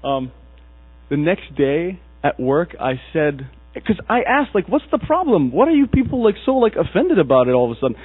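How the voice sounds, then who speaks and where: A man from Egypt preaches and tells stories, acting out the people in them. His voice is a little husky at a middling pitch. It comes through a tinny, dry, phone-like recording with a mains hum. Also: moderate pace, no room sound. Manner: storytelling, animated, acts out quoted characters. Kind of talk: sermon.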